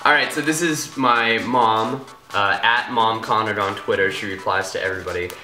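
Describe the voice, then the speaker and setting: A man's voice: fairly low pitch, moderate pace, sharp tone. A man from Iowa with an American accent, chatting casually as a vlog host in a playful, teasing manner. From Iowa, United States. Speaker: vlogger and host. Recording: roomy echo, music behind.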